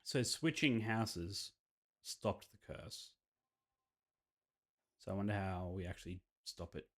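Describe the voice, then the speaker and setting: A man's voice: deep voice, slow pace. A man giving casual commentary on a video game playthrough, reacting and musing aloud as he reads. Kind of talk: game playthrough commentary, reading visual novel dialogue aloud.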